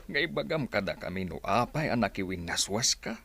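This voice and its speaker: A concerned Filipino father in a radio drama. His voice is low and very creaky, with lively swings as he questions his daughter. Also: brisk, full character voice.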